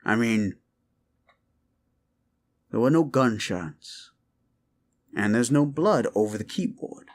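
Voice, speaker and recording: A man's voice: medium pitch, lively intonation, slow, pauses often. A man playing a folksy, rumpled older homicide detective in a roleplay audio, rambling absent-mindedly and probing politely. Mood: musing, speculative. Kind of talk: roleplay ASMR audio drama.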